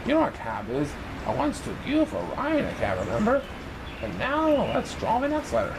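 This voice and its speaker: A man reading a children's picture book aloud in an expressive, sing-song way for young listeners. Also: sits high, a little fast, vocal fry, noisy background. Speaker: storybook reader in a banana persona.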